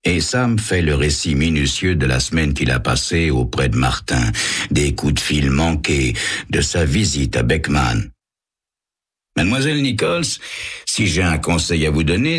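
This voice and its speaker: A man playing a composed private detective who speaks in a measured, courteous way. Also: a bass voice, slightly rough, voice pushed down, heavily acted. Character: composed male private detective, calm and polite.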